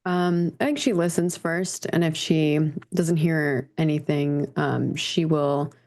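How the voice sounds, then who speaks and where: A woman with a notably low voice takes part in a tabletop roleplaying game as a player. She talks casually, describing her character's actions. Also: fairly even intonation, slow pace, darkish tone.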